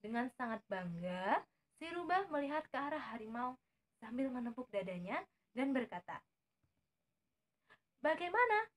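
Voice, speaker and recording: A woman telling a story to young children in a deliberate, teacherly way. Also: fairly high pitch, expressive.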